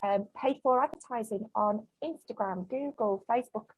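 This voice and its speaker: A woman's voice: a little high, moderate pace, a little mellow, breathy edge, no room sound, poor audio. A woman in her forties from the UK, a business owner talking frankly and emphatically as an interview guest. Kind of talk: casual interview chat.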